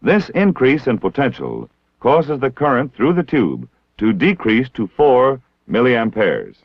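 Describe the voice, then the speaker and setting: American man, low voice, formal training-film narration, measured and precise, dry close sound, muffled 1960s film soundtrack. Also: huge pitch swings, dry recording. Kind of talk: scripted instructional narration.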